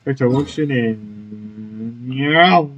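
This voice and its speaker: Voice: mid-range voice, drawn-out words, dull audio, a little hiss or hum. A gamer giving casual, laid-back, informal commentary during a playthrough. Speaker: gamer, let's player. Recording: game music behind the voice.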